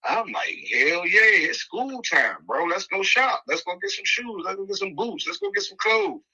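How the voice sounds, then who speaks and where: Forceful, bright-voiced D.C. man telling stories. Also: high-pitched, moderate pace, breathy edge, lo-fi sound. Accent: Washington, D.C. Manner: animated, slangy, repeats phrases for emphasis. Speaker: podcast host. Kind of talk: casual first-person storytelling.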